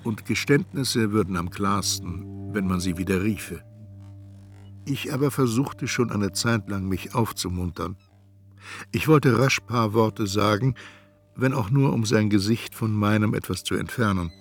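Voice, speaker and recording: Introspective male narrator, lowish pitch, measured, precise, first-person literary radio drama narration. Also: faint music behind. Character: introspective male narrator recounting an intimate encounter.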